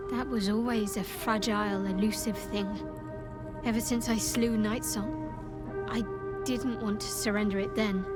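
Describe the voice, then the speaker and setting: A proud, steely young dark priestess in a fantasy game. Her voice sits fairly high and moves slowly, haughty and guarded, with music behind it. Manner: measured, haughty, dramatic pauses. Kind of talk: dramatic video game acting.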